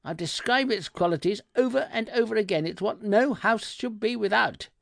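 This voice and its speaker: Guy doing a flustered gent shopper from a comic story, sputtery and insistent, voice pushed up high, really animated. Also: a little slow, gravelly, dry recording, clean studio sound, heavily acted. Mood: indignant rage. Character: flustered, indignant gentleman shopper losing his temper.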